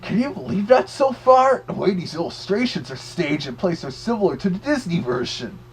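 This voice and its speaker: A big bad wolf performed by a man chats as a wry, easily offended reviewer. The voice is rather high and swings with exclamations. Faint noise is behind it. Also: big pitch swings, moderate pace. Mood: wry, amused. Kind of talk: casual review commentary.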